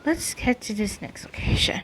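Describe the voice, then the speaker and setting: A woman's voice: a little high, animated, normal speed, background noise. A woman giving casual gameplay commentary as she streams. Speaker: game streamer.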